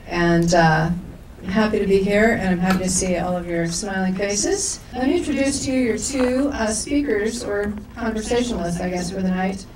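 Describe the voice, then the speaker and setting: A woman's voice: mid-range voice, normal speed, few pauses, noisy background. A woman co-director opening a live event, reading speaker introductions with stumbles and self-corrections. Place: large echoey event hall. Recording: echoey hall from far off.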